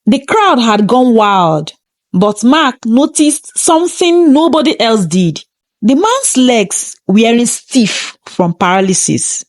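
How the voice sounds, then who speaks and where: Slow, high-voiced woman narrating a dramatic story. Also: big pitch swings, no echo. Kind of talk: scripted first-person story narration.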